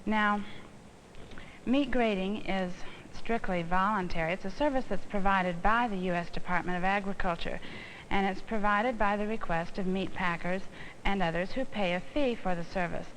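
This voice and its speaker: An American woman giving an instructional demonstration, explaining things in a deliberate, didactic way. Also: medium pitch, fairly bright tone. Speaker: instructional film presenter, home economist. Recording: noisy old instructional film soundtrack.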